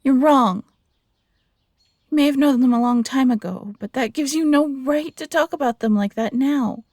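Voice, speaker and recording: A woman's voice: high-pitched, normal speed, darkish tone, no room sound, studio quality. A woman playing a loyal, feisty young fox hybrid, earnest and protective, in a fantasy audio roleplay. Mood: indignant. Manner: earnest, defiant, pleading.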